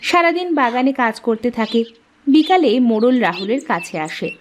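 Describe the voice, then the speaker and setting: High-voiced, animated woman storyteller. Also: normal speed, slight background noise. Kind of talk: scripted cartoon fairy-tale narration.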